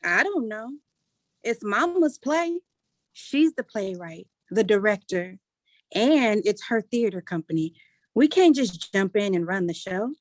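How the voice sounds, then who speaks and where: A woman acting a dutiful grown daughter in a stage drama reading. She is earnest and organizing, in a mid-pitched voice recorded dry. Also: big pitch swings, unhurried, no room sound. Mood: concerned, hesitant.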